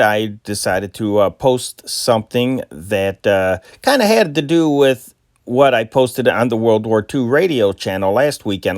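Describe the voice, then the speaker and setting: A man's voice: on the low side, no room sound, studio quality. A man hosting an amateur channel, chatting with many fillers and then reading old newspaper stories aloud. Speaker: host.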